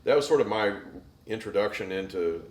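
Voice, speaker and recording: Deep-voiced man, halting speech, roomy. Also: lively intonation, a crowd in the background. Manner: halting, repeats words before finishing thoughts. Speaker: guest singer. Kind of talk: casual interview chat. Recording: roomy with faint ambience.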